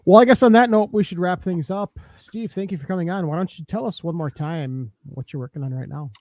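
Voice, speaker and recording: A man hosts a casual podcast and speaks earnestly, often repeating a word as he gets going. His voice is gravelly and mid-pitched, and the sound is dry and close. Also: fairly quick, warm tone, dry recording. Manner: earnest, repeats words when starting. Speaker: podcast host.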